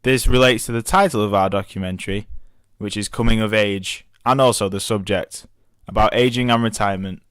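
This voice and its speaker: A young man reads out his documentary evaluation in a fairly low voice. The sound is dry and close, with no room around it. He keeps a steady reading pace and corrects small slips as he goes. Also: brisk, clean tone, no room sound. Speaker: media student.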